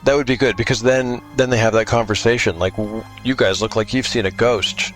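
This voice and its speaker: A man co-playing a story game, casually planning the plot and thinking aloud in a halting way. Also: medium pitch, fairly even intonation, faint music behind. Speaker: co-player of tabletop story game.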